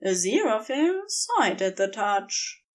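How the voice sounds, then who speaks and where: A woman narrating a scripted story in a measured, expressive storytelling manner. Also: medium pitch.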